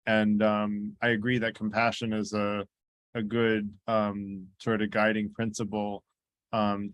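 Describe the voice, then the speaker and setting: Low flat voice guy running a video call discussion, halting, lots of ums, dry sound. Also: no echo. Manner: halting, many fillers and restarts. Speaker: discussion moderator. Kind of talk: online group discussion.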